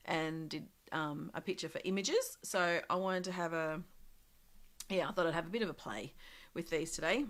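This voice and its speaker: Croaky, chatty woman demonstrating crafts. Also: lowish voice, moderate pace. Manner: chatty, thinking aloud with many ums and small laughs. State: slightly croaky from a cold. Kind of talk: casual live craft demo.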